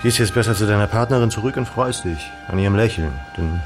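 A man playing a proud, disdainful young gentleman in a German radio drama, haughty and curt. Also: on the low side, fast talker, natural voice. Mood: disdainful, irritated. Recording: music behind the voice.

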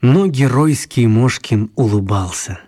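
A man's voice: medium pitch, expressive, measured pace, dark tone. A man narrating a children's radio story in a scripted storytelling style.

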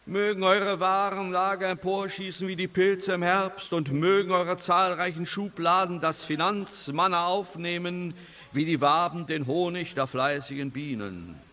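An actor playing a solemn sheikh who declaims florid blessings in a ceremonial way in a radio drama. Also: male voice, high voice, steady tone, unhurried, bright, forceful tone. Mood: solemn, incantatory. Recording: slightly distant, noisy ambience behind.

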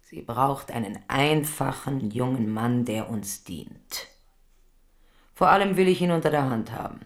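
A woman's voice: a bass voice, lively intonation, a little slow, full character voice. An actress in a radio drama playing a middle-aged queen mother, domineering and scheming, with a commanding, condescending manner. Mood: calculating.

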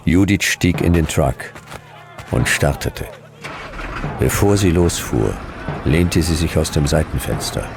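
An actor playing a world-weary, sardonic detective, narrating a radio drama in dry, deadpan short sentences. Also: male voice, a bass voice, slow pace, creaky, long pauses, noisy background, full character voice.

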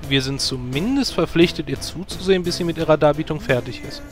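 A man's voice: fairly high pitch, fast talker, soft background music, close to an everyday voice. A man playing a dry, sarcastic male druid who leads a guild, in a parody audio drama.

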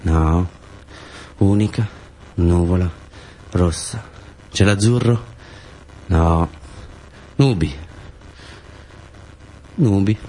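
A man's voice: sits low, warm tone, slight background noise, voice pushed down, full character voice, poor audio. A man voicing a grave, brooding figure in a radio play, in clipped, solemn, sparse phrases. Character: grave, terse, brooding figure counting and watching clouds. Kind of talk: radio theatre monologue.

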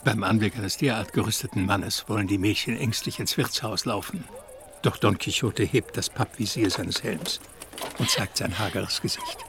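An actor playing the storyteller of a knightly adventure, reading literary scripted narration in a radio play. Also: male voice, lowish voice, expressive, normal speed. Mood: narrating. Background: music.

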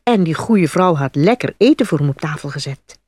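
A woman's voice: low voice, lively intonation, brisk, darkish tone, husky, no room sound. A woman narrating a fairy tale from a script as a storyteller.

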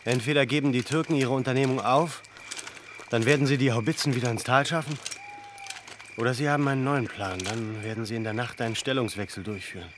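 A man voicing a resolute defense commander who rallies his fighters and then overrules his officers with firm authority. The voice sits at an ordinary middle pitch. A steady wash of background noise runs beneath the recording. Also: a little fast, close to an everyday voice. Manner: commanding, rallying. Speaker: military leader.

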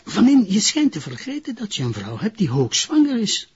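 An actor with a Flemish accent playing a fussy, indignant middle-aged public prosecutor in a TV crime drama. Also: male voice, high-pitched, huge pitch swings, moderate pace. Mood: disapproving, alarmed. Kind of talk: television crime drama acting. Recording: muffled lo-fi sound with hiss.

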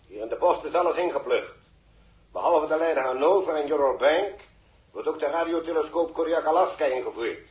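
A man's voice: on the high side, moderate pace, a little mellow, natural voice. An actor playing a dry, official male launch control officer in a sixties science fiction radio drama. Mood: dry banter. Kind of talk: 1960s radio drama acting. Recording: echoey old radio recording.

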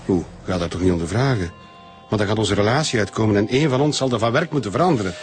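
An actor playing an evasive, flustered Flemish boss in a radio crime drama, answering questions haltingly. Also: male voice, on the low side, animated, a little hiss or hum, natural voice. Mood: uneasy. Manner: hesitant, halting.

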